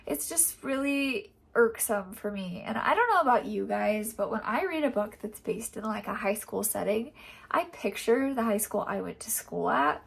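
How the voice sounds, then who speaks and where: A young woman gives a casual reading update, her voice a little higher than most. She sounds congested and nasal from a cold. She rambles along, leaning on fillers like "like" and "um". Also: expressive, moderate pace, gravelly, breathy edge. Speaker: book vlogger.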